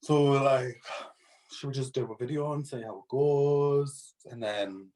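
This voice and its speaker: A man's voice: mid-range voice, steady tone, unhurried, mellow, rough recording. A man in his late twenties co-hosting a casual chat with joking, teasing banter. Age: late 20s. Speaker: co-host.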